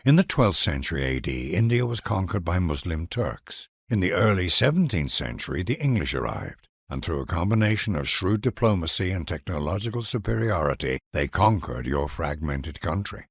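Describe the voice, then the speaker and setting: A man's voice: deep voice, sing-song, moderate pace, creaky, hardly any pauses, breathy edge, dry recording, clean studio sound. A man delivering scripted narration for a game in a grand, solemn, declamatory manner.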